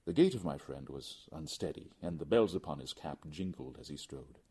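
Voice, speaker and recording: Deep, husky, dramatic male storyteller. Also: lively intonation, moderate pace, dark tone. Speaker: audiobook narrator. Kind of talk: dramatic scripted narration of a gothic short story.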